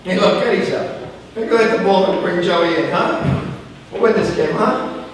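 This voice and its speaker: Man acting a slow, high-voiced defensive dad, echoey hall. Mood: probing. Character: suited, casual father, mildly defensive latecomer at a ballgame. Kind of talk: stage play acting. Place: theatre stage, live performance. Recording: echoey hall from far off, faint noise.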